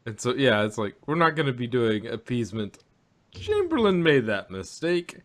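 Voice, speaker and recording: Man chatting casually, wry and halting. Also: mid-range voice, very animated. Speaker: podcast co-host reviewing audio dramas. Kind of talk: casual review chat.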